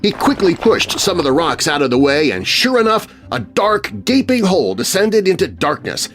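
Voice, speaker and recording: Animated male narrator of spooky children's stories. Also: high-pitched, moderate pace. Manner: suspenseful, theatrical. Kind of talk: dramatic scripted spooky storytelling for children. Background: music bed.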